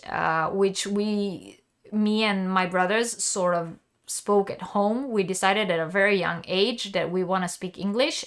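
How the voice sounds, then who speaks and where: Slow-talking woman teaching crochet. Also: mid-range voice, a bit bright. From Israel. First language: Hebrew. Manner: explanatory, many ums and uhs. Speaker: crochet teacher hosting a live stream. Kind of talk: live-stream tutorial, reading chat.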